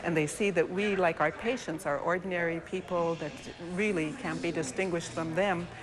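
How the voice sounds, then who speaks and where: Low-voiced woman, halting and earnest. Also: steady tone. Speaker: physician, clinical director, interview guest. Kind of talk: live news interview.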